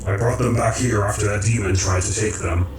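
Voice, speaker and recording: A man in a radio drama playing a calm, commanding creator of realities who speaks in measured, formal, cryptic phrases. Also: on the low side, background noise, natural voice. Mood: calm, authoritative. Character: calm, commanding cosmic creator, male, cryptic philosophical overseer. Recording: roomy echo, distant, music underneath.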